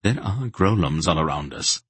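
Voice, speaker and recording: A wary little schemer from a fantasy audiobook, acted by a man. He speaks in hushed, clipped phrases. The sound is husky and dry. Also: low-pitched, sing-song, takes it slowly, no room sound, heavily acted. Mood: hushed, watchful. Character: sly, watchful little man, urgent whispering.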